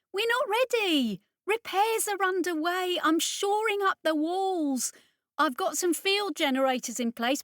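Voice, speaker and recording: A woman voicing a tough engineer who pleads loudly and insistently. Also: extremely high pitch, dry recording, clean studio sound, pitched above the performer's own voice, exaggerated voice.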